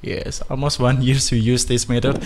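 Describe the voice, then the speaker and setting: A man's voice: mid-range voice, level tone, normal speed, darkish tone, a little hiss or hum. Male radio host. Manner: switches between Indonesian and simple English, chatty. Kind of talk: bilingual radio talk show hosting. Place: radio studio.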